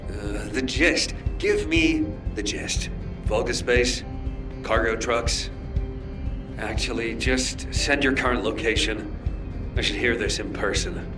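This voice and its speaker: An actor playing a stern, pragmatic squad leader in a video game, curt and commanding, with music behind him. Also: male voice, medium pitch, slow pace, natural voice. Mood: impatient. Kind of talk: video game cutscene acting.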